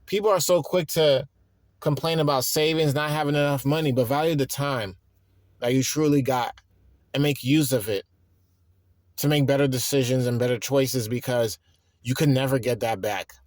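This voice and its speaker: A man in his thirties, tired and stressed, talking in a rambling, halting way with frequent restarts in a reflective personal monologue. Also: medium pitch, dry recording, clean studio sound. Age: 30s. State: tired and worn out. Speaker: vlogger.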